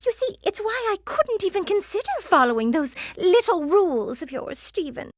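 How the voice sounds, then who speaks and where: High, theatrical, vain villain queen. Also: sing-song, normal speed, dry recording, clean studio sound. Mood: gloating, gushing. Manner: theatrical, gushing, condescending. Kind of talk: animated game voice acting.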